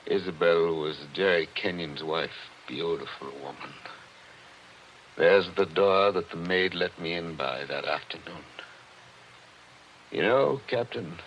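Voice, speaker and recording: An actor playing a brooding attorney who narrates wistfully in a 1940s radio mystery. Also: male voice, measured pace, pauses often, a bit bright, slight background noise. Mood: reflective. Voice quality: low, reflective, wistful. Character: brooding middle-aged male attorney narrator. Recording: dull old radio recording with music.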